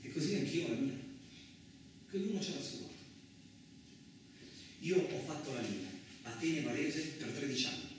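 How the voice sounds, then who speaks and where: A very reverberant, noisy recording, picked up from a distance, carries a male actor as a seasoned truck driver. He tells his tale like theatre, rough and talkative, rambling along with colloquial asides and rhetorical questions. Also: mid-range voice, big pitch swings, normal speed, pauses often, natural voice. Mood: reflective, wistful. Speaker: actor performing a radio theatre monologue. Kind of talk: colloquial theatrical monologue, storytelling.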